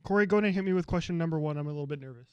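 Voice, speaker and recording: Opinionated high-voiced American man. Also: very fast, clear, resonant tone, no room sound, muffled recording. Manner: opinionated, rambling, dry grumbling about rivals. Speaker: co-host. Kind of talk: casual sports talk podcast.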